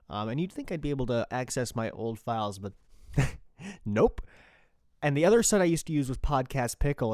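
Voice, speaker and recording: A man's voice: medium pitch, clean tone, dry recording, studio quality. A man in his twenties with an American accent, chatting casually to listeners as a podcast host, rambling and self-deprecating. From California, United States. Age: 20s.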